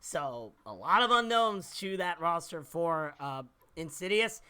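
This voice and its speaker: A man hosting a casual esports awards podcast, hoarse and losing his voice, reading out nominee lists and joking with his co-hosts. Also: fairly high pitch, animated, a little slow, pushed and bright. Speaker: podcast host.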